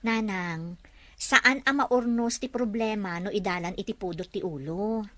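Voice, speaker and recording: A woman's voice: mid-range voice, a little slow, a bit bright, dry recording, natural voice. A woman playing a devoted, forgiving young wife in a drama, speaking in an earnest, pleading manner. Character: devoted, forgiving young wife hoping her cheating husband changes. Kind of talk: Ilocano radio-style drama acting.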